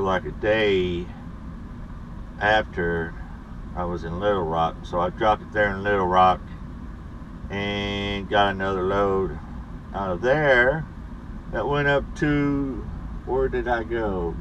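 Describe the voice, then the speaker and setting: A man's voice: fairly low pitch, highs cut off, noisy background. Laid-back truck driver in his cab. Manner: laid-back, rambling, with frequent pauses and fillers. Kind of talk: casual weekly vlog. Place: inside a truck cab at night. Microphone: camera mounted on the dashboard.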